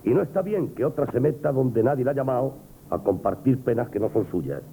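An actor playing a stern, proud shopkeeper, blunt and scolding, in a Spanish radio play. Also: male voice, mid-range voice, lively intonation, fairly quick, creaky, dark tone. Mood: cold, reproachful. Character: stern, wounded, proud shopkeeper, father of a daughter. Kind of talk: Spanish radio theatre acting, 1970s. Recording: muffled, noisy old radio broadcast.

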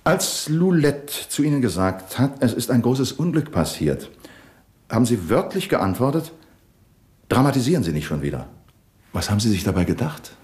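An actor playing a calm police inspector who asks measured, dryly probing questions in a 1970s radio drama. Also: male voice, medium pitch, natural voice.

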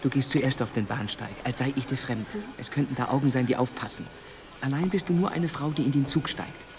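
An actor playing a horrified, scheming lover in a radio drama, speaking in curt, tense commands. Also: male voice, mid-range voice, a little fast, background noise, natural voice. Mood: urgent, calculating. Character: horrified, wary, scheming male lover giving curt orders.

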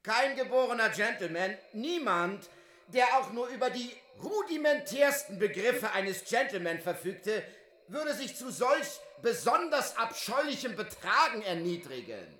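A man acting a pompous, indignant gentleman writer in a radio drama, scornful and over-articulated. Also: extremely high pitch, big pitch swings, unhurried, heavily acted. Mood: haughty indignation. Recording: roomy, echoing studio acoustic.

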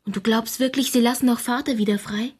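An actress playing a worried, forgiving young daughter in a radio drama, with a soft, breathy voice. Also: female voice, a little high, steady tone, fast talker. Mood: anxious questioning.

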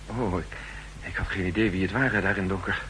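A man's voice: deep voice, fairly even intonation, a little fast, a bit bright. An actor playing a nervous, suspicious official in a 1960s radio play, formal and courteous in his speech. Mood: anxious. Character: nervous, suspicious male official plotting in secret. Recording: noisy old 1960s radio recording.

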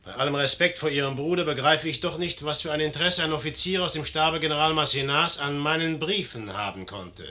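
Casting: young commander, curt and suspicious, fairly high, piercingly forceful voice, vintage radio drama. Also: male voice, fairly quick, few pauses. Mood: cold, impatient. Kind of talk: 1950s German radio play acting.

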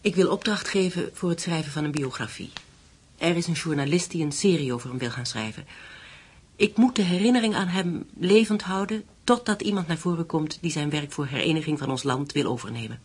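Husky, composed, resolute widow. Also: female voice, deep voice, lively intonation, a little fast. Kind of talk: Dutch radio play acting.

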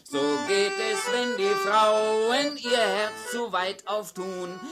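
Radio play casting: a boozy robber balladeer, very slow sing-song over prominent accordion. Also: male voice, sits high, fairly even intonation. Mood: bawdy, wry. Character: boozy, roguish male robber and tavern accordion singer. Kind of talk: radio play acting, folk ballad singing. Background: accordion and guitar music.

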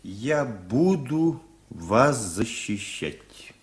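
An actor playing a courteous, dignified male lawyer in a radio drama, measured and theatrical with weighty pauses. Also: medium pitch, very animated, breathy edge, heavily acted. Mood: grave, resolute. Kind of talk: 1980s Soviet radio drama acting.

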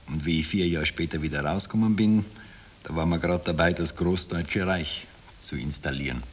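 Deep-voiced, wry Austrian man played by an actor. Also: brisk, close to an everyday voice. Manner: wry, ironic storytelling. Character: wry former political prisoner recounting his past. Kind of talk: 1960s radio play narration. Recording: slightly hissy old tape.

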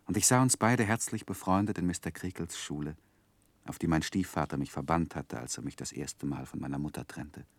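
An actor playing an earnest, sensitive man recalling his youth in a 1960s German radio drama, speaking in a measured, reflective literary manner. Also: extremely low pitch, no echo. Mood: absorbed, nostalgic.

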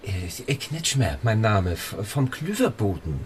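An actor playing an awkward, nosy small-town newspaper reporter in a radio play, stammering and over-polite. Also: male voice, fairly low pitch, big pitch swings, unhurried, slight background noise. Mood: awkward, probing. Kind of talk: German radio play acting.

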